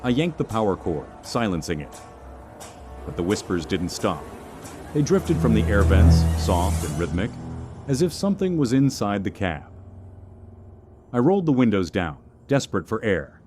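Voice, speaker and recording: A man narrating a scripted first-person horror story in a measured, suspenseful manner. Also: lowish voice, sing-song, dark tone, faint music behind.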